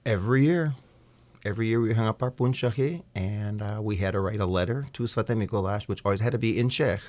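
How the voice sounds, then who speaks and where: A man's voice: lowish voice, fast, darkish tone. A middle-aged American man as a radio studio guest, chatting in a hesitant, good-humored way with many fillers. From United States. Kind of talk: casual interview chat.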